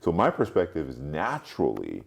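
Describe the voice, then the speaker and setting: A man in his late forties who grew up in Europe, speaking reflectively as an interview guest, haltingly, thinking aloud and repeating words. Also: extremely low pitch, wildly swooping pitch, gravelly. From Europe, mostly France, born in Cameroon. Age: late 40s. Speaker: podcast guest, marketing strategist.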